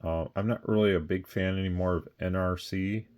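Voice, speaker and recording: A man with a deep voice talks off the cuff, like a small seller showing an item. His delivery stays quite flat and plainspoken. He rambles a little, qualifying his points as he goes. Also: fairly quick. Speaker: radio seller presenting a product. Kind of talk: casual off-the-cuff product talk.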